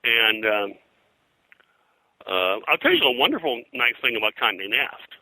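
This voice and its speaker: An older man speaking as an interview guest and historian, telling anecdotes in a casual, halting, discursive way. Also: mid-range voice, big pitch swings, bright, forceful tone, no room sound, poor audio. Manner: halting, discursive, hesitant phrasing.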